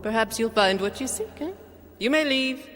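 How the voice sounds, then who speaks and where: An actress playing an imperious foreign queen in a radio drama, speaking with slow deliberation. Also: a little high, animated, lightly acted. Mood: mocking, dismissive. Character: haughty foreign queen of a dark realm. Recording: long hall reverb, faint noise.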